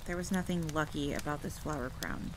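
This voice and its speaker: A woman playing a lowish-voiced campfire storyteller. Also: a little fast, slight background noise, poor audio. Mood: steady, reminiscing. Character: vampire hunter woman telling a painful childhood story by campfire. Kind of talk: scripted first-person storytelling, audio roleplay. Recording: clear rain and thunder ambience behind voice. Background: rain and rolling thunder.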